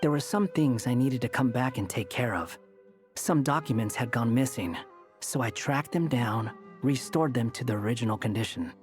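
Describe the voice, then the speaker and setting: A man's voice: medium pitch, moderate pace, soft background music, lightly acted. Man playing an aloof, sardonic young scholar. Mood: wry. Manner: dry, smug, clipped. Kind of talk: video game voice acting.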